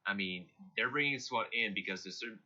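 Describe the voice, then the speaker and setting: A man's voice: lowish voice, fairly quick, sharp tone. A man contributing casual, speculative commentary to a live news stream over dull lo-fi audio.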